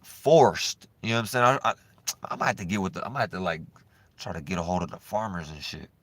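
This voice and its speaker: A man vlogging in a loose, profane, rambling monologue, swinging between animated bursts and low mumbling. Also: fast, no echo. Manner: loose, profane and repetitive, full of "you know what I'm saying".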